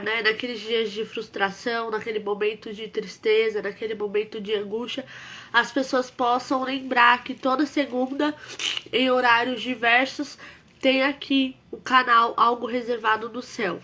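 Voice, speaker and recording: Woman, worship live-stream host, congested with a cold, slow, earnest, bright tone. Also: fairly high pitch. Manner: earnest, repetitive, emphatic exhortation. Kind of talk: devotional live-stream talk.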